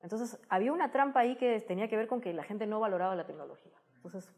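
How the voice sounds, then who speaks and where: A woman's voice: medium pitch, fast, a little mellow. Peruvian woman explaining in conversation. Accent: Peruvian Spanish. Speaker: interview guest, science communicator. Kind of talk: conversational interview answers.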